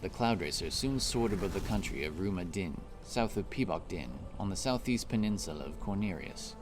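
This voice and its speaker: A man narrating a scripted fantasy story in a measured, deliberate storytelling manner. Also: low voice, lively intonation, music in the background.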